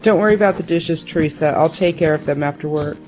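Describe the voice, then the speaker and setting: An actress playing a deferential but candid woman hacker in an audio drama, careful and quietly firm. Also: low-pitched, fast, over music, close to an everyday voice, rough recording. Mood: quietly defensive.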